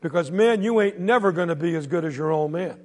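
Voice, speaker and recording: A jeering, mocking middle-aged veteran who sits outside a store and taunts a boy, as a male storyteller puts him on. He pitches his voice up high and loud. It rings out in a big echoing hall. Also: a little fast, lightly acted. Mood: taunting.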